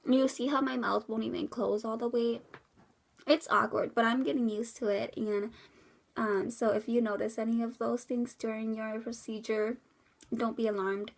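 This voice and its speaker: A woman's voice: on the high side, fairly even intonation, moderate pace, gravelly. A young woman from the US Midwest, congested from a cold, giving a rambling casual update to camera with many fillers. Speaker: vlogger.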